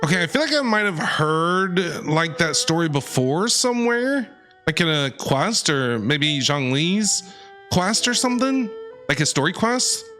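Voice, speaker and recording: A man's voice: on the high side, sing-song, unhurried, pushed and bright. A man doing casual, jokey and reactive live commentary as a game streamer. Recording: game music behind.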